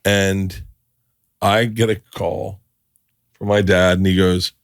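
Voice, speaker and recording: A middle-aged man from Florida chats with his guest, spinning stories and jumping into the voices of the people in them. His voice sits on the low side, and the sound is close and dry with no room in it. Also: slow pace, no echo. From Tampa, Florida. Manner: animated storyteller, acts out quotes, laughs often. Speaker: podcast host. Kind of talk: casual storytelling chat.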